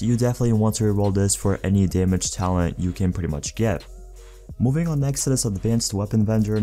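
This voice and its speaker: Stuffy-nosed man, low mellow voice. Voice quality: nasal. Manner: relaxed, talks through recommendations with many fillers. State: stuffed nose. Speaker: gaming YouTuber. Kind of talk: casual gameplay commentary.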